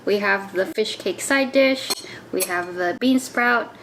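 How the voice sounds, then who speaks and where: Chatty young woman with quick laughs. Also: mid-range voice, a bit bright, slight background noise, low-quality recording. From grew up in Indonesia, born in the US. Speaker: co-host. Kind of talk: casual chat while eating.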